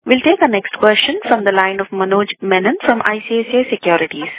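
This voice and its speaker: A woman's voice: mid-range voice, fast, dry recording. Lady call operator, scripted and formal, dry slightly muffled line. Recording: dull lo-fi call audio.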